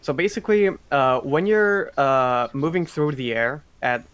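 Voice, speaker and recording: A man's voice: medium pitch, animated, unhurried, fairly bright tone, clear, resonant tone, lo-fi sound. A man giving explanatory live commentary on a speedrun. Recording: faint background noise, live event stream.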